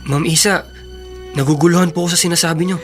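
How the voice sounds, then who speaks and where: A woman's voice: fairly low pitch, steady tone, fast talker, faint music behind, lightly acted. A woman playing a respectful, hesitant, bewildered young man in a Filipino radio drama. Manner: deferential, hesitant.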